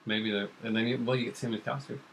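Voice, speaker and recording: A man's voice: mid-range voice, fairly even intonation, fast talker, gravelly, a bit bright, a little hiss or hum, poor audio. A man co-hosting a casual pop culture chat, speaking in an informal, conversational way.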